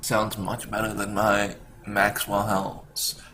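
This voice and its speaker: Low-voiced man, slightly noisy recording. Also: monotone, slow pace, bright, forceful tone. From Canada. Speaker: podcast host. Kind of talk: casual chat. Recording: slight background noise.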